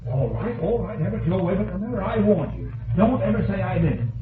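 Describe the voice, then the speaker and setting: Hot-tempered young man, insistent, high-pitched, old radio drama, dull echoing sound. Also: very animated, fairly quick, a crowd in the background. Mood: agitated warning. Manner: agitated, insistent. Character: excitable young male gunman, hot-tempered, warning. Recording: muffled, echoing, distant vintage radio recording.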